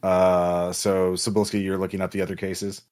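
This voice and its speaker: A man narrating as game master of a tabletop roleplaying game, in a halting, improvised way. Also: sits low, completely flat delivery, a little mellow.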